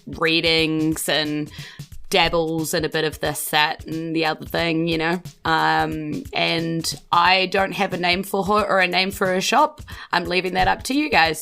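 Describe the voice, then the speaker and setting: Low, creaky New Zealand woman game master. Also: unhurried, fairly bright tone, faint music behind. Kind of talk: casual improvised tabletop game narration.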